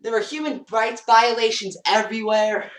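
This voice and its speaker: A man's voice: sits high, steady tone, normal speed, a bit bright, a little hiss or hum. A teenage boy vlogger rambling through a comedic parody story and acting out scenes while he moves around a small basement room. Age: teens. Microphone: built-in webcam mic across the room. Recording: roomy and distant.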